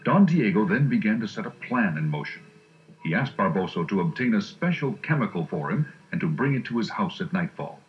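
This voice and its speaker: A man reads a scripted adventure storybook as its narrator. His voice is fairly low and moves expressively. The recording is a little muffled, with faint music behind. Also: big pitch swings, a little fast.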